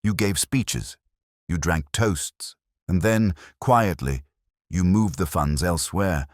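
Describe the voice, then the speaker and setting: A man voicing a composed, precise woman in an audiobook, speaking smoothly and with restraint as she confronts wrongdoers. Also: low voice, no room sound, clean studio sound, pitched above the performer's own voice. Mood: controlled accusation. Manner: smooth, measured, untheatrical.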